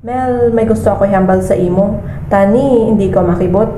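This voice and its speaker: A woman's voice: medium pitch, fairly quick, mellow, natural voice. Radio drama role: sincere, forgiving young woman played by an amateur actress, Hiligaynon accent, earnest delivery, roomy and noisy sound. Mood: tender, earnest. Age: young adult. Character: sincere woman, loving, later married and forgiving businesswoman.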